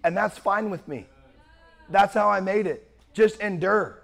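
Man, young pastor, sermon, strained voice, high pitch, loud projection. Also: unhurried, vocal fry. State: strained, worn-out voice.

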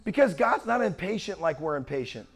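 A young man preaching a sermon with a worn-out, strained voice and a loud, projecting manner. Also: high voice, sing-song, brisk. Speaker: pastor.

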